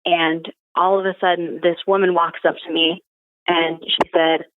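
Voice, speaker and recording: Young lady podcast guest chatting casually, lowish voice, sounds like she's on a phone call. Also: little pitch movement, moderate pace. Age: young adult. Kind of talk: casual personal storytelling. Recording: narrow phone-like call audio.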